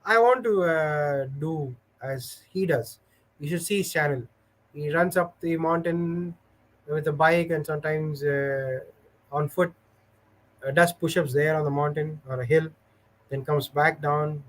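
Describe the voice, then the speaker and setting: Man, slightly high-pitched, livestream host, rambling casual chat, lots of "uh" fillers. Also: measured pace, low-quality recording. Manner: rambling, hesitant, full of "uh" fillers; storytelling. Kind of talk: casual livestream chat, reading viewer comments.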